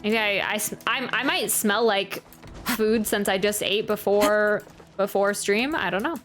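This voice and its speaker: A woman's voice: mid-range voice, a little slow. A woman streamer reacting to a game and chatting casually, rambling and often interrupting herself. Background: soft game music.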